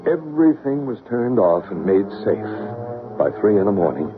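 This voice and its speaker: A solemn seance leader speaks in a low, measured, hushed tone. The 1970s radio drama sounds thin and tinny, with faint music behind him. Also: male voice, big pitch swings. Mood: narrating. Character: calm man leading a seance, narrating.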